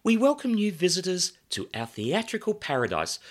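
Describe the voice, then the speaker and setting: Man putting on a high-pitched whimsical bombshell hostess. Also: wildly swooping pitch, moderate pace, exaggerated voice. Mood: welcoming. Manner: airy, theatrical. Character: whimsical blonde bombshell hostess, breezily welcoming.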